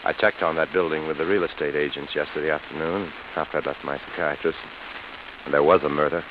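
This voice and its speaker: Low-voiced, haunted artist. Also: male voice, fairly even intonation. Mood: brooding, ominous. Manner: theatrical, halting, repeats words in mounting agitation. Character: sleepless, haunted, obsessive male artist, pleading and morbid. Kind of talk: golden-age radio horror acting. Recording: muffled, hissy old radio recording.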